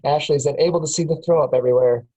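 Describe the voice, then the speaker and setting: A woman reads a screenplay's stage directions aloud at a casual table read. Her tone is mellow and dark, and she keeps breaking into laughs as she reads. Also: low voice, very fast, slightly rough, rough recording. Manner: laughs often while reading. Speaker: narrator reading stage directions.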